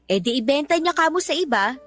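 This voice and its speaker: An actress playing a dutiful, weary young Filipino daughter who reasons respectfully but firmly in a radio drama. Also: female voice, sits high, animated, quick pace, fairly bright tone. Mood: defensive. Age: young adult. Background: background music.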